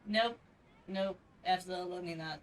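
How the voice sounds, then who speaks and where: A woman's voice: lowish voice, a little slow, a little hiss or hum. A woman streamer giving casual, offhand reactions while playing a game. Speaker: live streamer.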